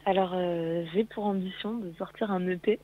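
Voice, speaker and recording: A woman's voice: lowish voice, steady tone, normal speed. A woman aged 21, chatting casually and a little hesitantly as an interview guest over a phone line. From Paris, France. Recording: tinny narrowband phone line.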